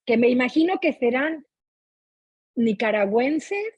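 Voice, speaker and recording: A middle-aged Mexican woman with a fairly high, very husky voice talks casually and expressively about books. She often tags her sentences to check for agreement. The audio is muffled and echoey, like an online meeting. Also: a little slow. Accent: Mexican Spanish. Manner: chatty, expressive, often seeking agreement with tag questions. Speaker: reading club participant. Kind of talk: casual spoken book commentary.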